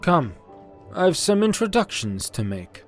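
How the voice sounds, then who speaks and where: Man voicing a slow, grave war leader. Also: high voice, sing-song. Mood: grave, hopeful. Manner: serious, deliberate. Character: grave but hopeful fantasy war leader. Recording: music behind the voice.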